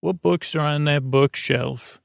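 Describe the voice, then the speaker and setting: A man from the United States hosting a bedtime podcast in a gravelly, creaky voice, meandering and hesitant, with false starts and self-interruptions in a soothing monologue. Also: fairly high pitch, unhurried, dry recording, clean studio sound. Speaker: podcast host.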